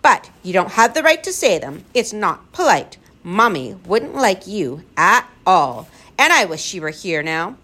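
A woman's voice: medium pitch, lively intonation, normal speed, hardly any pauses, a bit bright, close to an everyday voice. A woman voicing an outspoken little girl in a storybook, scolding emphatically and indignantly in a childish way.

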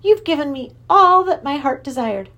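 A woman voicing an exuberant, lovesick male creature king with antlers, who lisps and gushes in a high voice. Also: normal speed, muffled recording. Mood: gushing. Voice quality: lisping. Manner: high, gushing, excitable. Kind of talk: storybook reading.

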